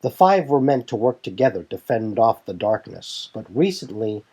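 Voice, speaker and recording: A man's voice: fairly low pitch, big pitch swings, normal speed, dark tone, husky, exaggerated voice. A man voicing a composed young leader of a warrior band who explains things formally in a fantasy audio drama. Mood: grave, explanatory. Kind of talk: fan audio drama dialogue.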